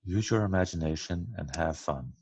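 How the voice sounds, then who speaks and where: A man's voice: sits low, lively intonation, normal speed, a little mellow, breathy edge, dry recording, lo-fi sound. A man reading a children's picture book aloud. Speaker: read-aloud reader.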